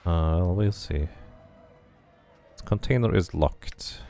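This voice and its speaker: A man's voice: low voice, slow pace, vocal fry, warm tone, faint music behind. A man casually commenting on his game as he plays, musing and thinking aloud. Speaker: game streamer. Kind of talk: casual let's play commentary.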